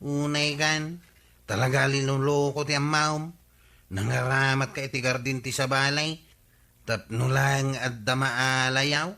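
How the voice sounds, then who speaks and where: A man's voice: medium pitch, fairly even intonation, measured pace, pushed and bright. A man with an Ilocano Filipino accent playing a nosy, blunt, disapproving villager in a radio comedy drama. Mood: accusing.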